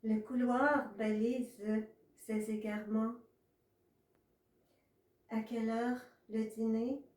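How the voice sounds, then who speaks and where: Poetry reader: woman, mellow and unhurried delivery, recorded in an echoey room. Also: a little high, flat delivery. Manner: measured, pausing between short phrases. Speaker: author reading own poetry. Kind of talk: literary poetry reading. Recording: echoey room, distant from mic.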